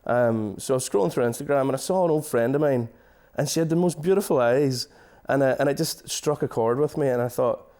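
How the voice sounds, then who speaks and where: A man with a Donegal Irish accent, a singer-songwriter guest chatting in a studio, hesitant and restarting often, his voice trailing off quietly. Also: mid-range voice, fairly quick, hardly any pauses. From Donegal, Ireland. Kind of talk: casual interview chat. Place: radio studio.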